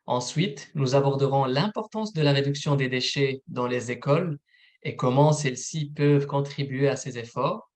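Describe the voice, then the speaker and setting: A man, a program manager, presenting a recorded informational webinar in a steady, methodical read. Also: medium pitch, normal speed.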